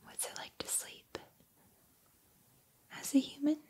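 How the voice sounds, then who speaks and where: A woman voicing a gentle, encouraging combat training robot in a soft, breathy, measured ASMR roleplay whisper. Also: natural voice. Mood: quiet, curious.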